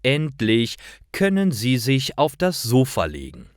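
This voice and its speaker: A man narrating simple scripted stories for language learners, reading aloud in a deliberate, carefully articulated way. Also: medium pitch, wildly swooping pitch, dry recording, studio quality.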